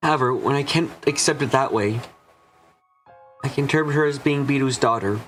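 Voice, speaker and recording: An earnest young man full of remorse, played by a male actor, narrates his own visual novel story dramatically. His pitch is medium. Soft music sits behind him. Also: brisk, lightly acted. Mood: tender, sorrowful.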